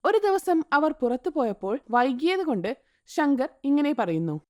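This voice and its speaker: Woman storyteller, scripted narration, high voice, sing-song, dry close sound. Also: big pitch swings, moderate pace, a little mellow, dry recording.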